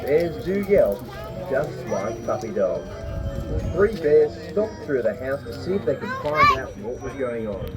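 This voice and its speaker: Woman narrator, low and very animated, children's fairytale delivery with dramatic expression, loud music behind. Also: unhurried. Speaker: storyteller in a recorded fairytale at a children's attraction. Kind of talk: scripted children's storybook narration.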